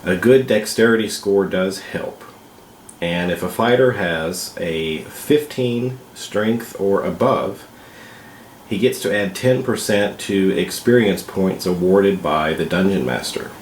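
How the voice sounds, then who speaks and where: Slow, congested man in an echoey room. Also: sits low, slight background noise. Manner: slow, hesitant, with many fillers. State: congested from a cold. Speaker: vlog host. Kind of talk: casual explanatory vlog.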